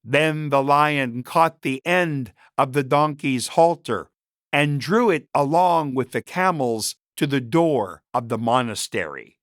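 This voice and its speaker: A man narrates a story at a slow, measured pace. His mid-pitched voice moves expressively up and down through the scripted lines, and the sound is dry, with very little room around it. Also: lively intonation, fairly bright tone, dry recording.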